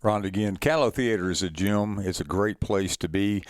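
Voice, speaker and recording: Male briefing host: low and resonant, plain-spoken, informal instructional delivery, dry sound. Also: normal speed, dry recording. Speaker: host leading an information session.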